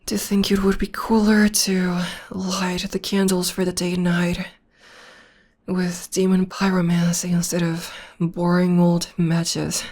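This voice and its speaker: A woman voicing a gentle young witch girlfriend, soothing her upset partner in a soft, often whispered voice close to the microphone. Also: on the low side, level tone, slow. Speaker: voice actress. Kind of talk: ASMR audio roleplay.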